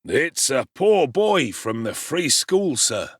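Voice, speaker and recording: A man's voice: mid-range voice, sing-song, slow pace, breathy edge, no echo, pitched above the performer's own voice, full character voice. A self-important, middle-aged parish beadle who blusters and fusses, acted by a man. His voice sits somewhat raised. The recording is close and dry. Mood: blustering. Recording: dry close studio sound.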